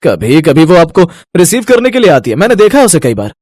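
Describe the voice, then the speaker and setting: An actor playing an eager, respectful young manager and dutiful son in a family storybook tale. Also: male voice, fairly high pitch, sing-song, a little fast, darkish tone, dry recording.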